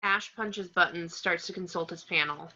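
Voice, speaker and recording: Female narrator for screenplay action lines; very bright, forceful tone, slightly muffled lo-fi sound. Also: fairly low pitch, moderate pace. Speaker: narrator reading stage directions. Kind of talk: scripted screenplay narration at an amateur table read.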